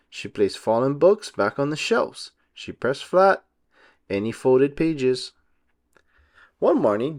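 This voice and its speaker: Animated man reading a children's story. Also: mid-range voice. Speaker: read-aloud narrator for children. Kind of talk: expressive scripted storybook narration.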